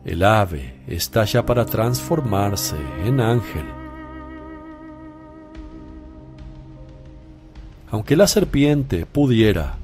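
Guy playing a solemn holy teacher in a radio play, firm but caring, soft mellow voice, really expressive pitch. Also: vocal fry, full character voice. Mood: grave reproach. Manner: solemn, deliberate, preaching. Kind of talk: Spanish radio drama acting.

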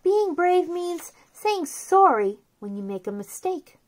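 A woman in her thirties speaking to young children as a story time host, with an expressive, simple delivery. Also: very high-pitched, slow pace. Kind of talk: children's read-aloud and chat to young viewers.

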